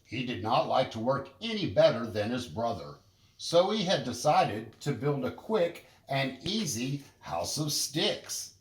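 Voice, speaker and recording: Slow, animated man reading a storybook. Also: mid-range voice, roomy sound. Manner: expressive, deliberate storytelling. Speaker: storybook reader. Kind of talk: animated children's story narration.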